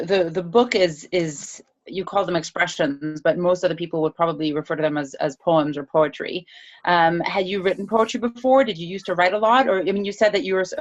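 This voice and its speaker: A woman interviewer asking gentle, hesitant questions with fillers and restarts. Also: fairly low pitch, highs cut off. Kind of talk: conversational interview.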